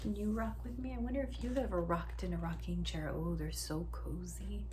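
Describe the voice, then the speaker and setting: A woman reading a story aloud to young children, expressive, drawing out words, dropping into hushes and asking the listener questions. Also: mid-range voice, moderate pace, slight background noise. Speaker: children's story reader, teacher.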